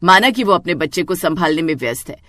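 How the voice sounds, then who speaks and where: A woman's voice: lowish voice, animated, quick pace, bright, forceful tone, no room sound, studio quality. A woman voicing a stern middle-aged mother in a cartoon family story, scolding reproachfully.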